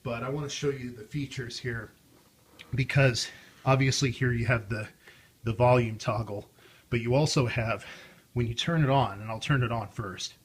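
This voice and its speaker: A man's voice: medium pitch, normal speed. Casual man presenting, with noisy background. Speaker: host of a vintage radio showcase. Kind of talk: casual show-and-tell chat.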